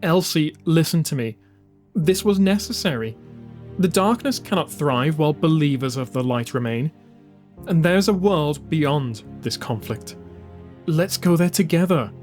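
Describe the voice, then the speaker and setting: A man's voice: on the high side, expressive, measured pace, a little mellow, faint music behind, voice pushed up. A man voicing an earnest, imploring younger sister turned zealous traitor in an audio drama. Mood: pleading urgency.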